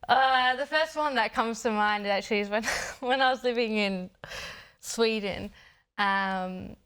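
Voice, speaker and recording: Bright, clear woman. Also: on the high side, measured pace. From Australia. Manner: hesitant, many fillers and light laughs. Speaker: guest footballer. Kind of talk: casual interview chat.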